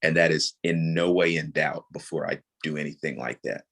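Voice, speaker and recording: A man in his thirties with a notably low voice talks as a guest on an interview show. He explains things earnestly, in a natural conversational flow. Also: normal speed, gravelly, fairly bright tone. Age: 30s. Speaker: interview guest, audio drama creator and voice actor.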